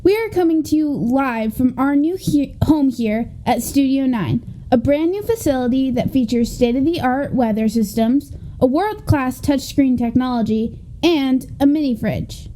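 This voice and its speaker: A woman's voice: high voice, measured pace, mellow, poor audio. A woman playing a chirpy TV news anchor with a mock newscast delivery. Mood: polished, upbeat.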